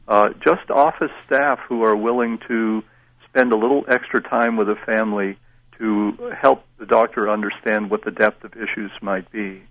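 A man talks as an expert guest on a radio show, steady and explanatory. His voice is gravelly and the sound is dull and dry. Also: fairly low pitch, no echo.

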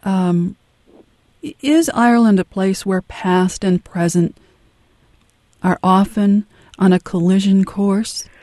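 Soft-spoken, polite interviewer; mid-pitched, dry, close. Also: takes it slowly, no room sound. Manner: careful, polite questioning. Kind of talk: interview questions.

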